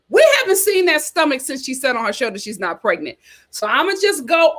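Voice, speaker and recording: A woman with a fairly high voice hosts a casual reality TV chat. She is animated and opinionated, talking straight to her viewers as she reacts to their comments. Her delivery is blunt and gossipy, with phrases repeated for emphasis. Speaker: host of a reality TV chat show.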